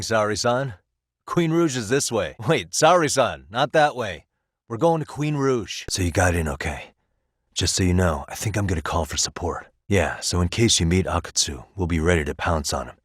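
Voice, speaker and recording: An actor playing a wry, dogged, streetwise private detective in a video game, speaking in a conversational, self-assured way. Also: male voice, low voice, lively intonation, normal speed, no room sound, studio quality. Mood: urgent, then steady, reassuring. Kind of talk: English video game voice acting.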